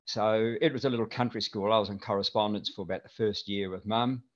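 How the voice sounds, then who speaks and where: An older man from New Zealand with a mild New Zealand accent, chatting as an interview guest in a relaxed, rambling way with lots of fillers. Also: lowish voice, quick pace. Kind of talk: casual interview chat. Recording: dull lo-fi remote audio.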